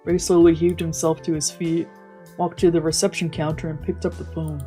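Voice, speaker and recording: A woman streamer with a soft-spoken, subdued manner, narrating a visual novel aloud during a let's play. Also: deep voice, flat delivery, a little fast. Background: game music.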